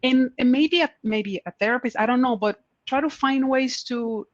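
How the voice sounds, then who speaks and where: A woman's voice: high-pitched, normal speed, no echo, dull audio. A woman panelist giving career advice in a conference Q&A, earnest and encouraging, often repeating her key points. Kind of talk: conference Q&A answers.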